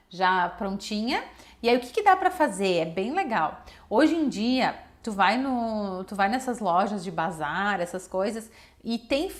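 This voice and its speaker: A woman with a southern Brazilian accent giving casual, conversational advice to viewers, often adding little checking tags. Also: mid-range voice. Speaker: children's nutritionist giving advice. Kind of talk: casual explanatory talk to viewers.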